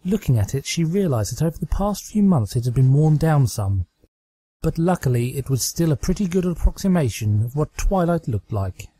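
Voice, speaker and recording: A man narrates a story from a script as an amateur reader. His tone is mellow and husky, recorded very dry. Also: a little high, big pitch swings, moderate pace, dry recording, low-quality recording. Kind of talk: scripted fan-fiction narration.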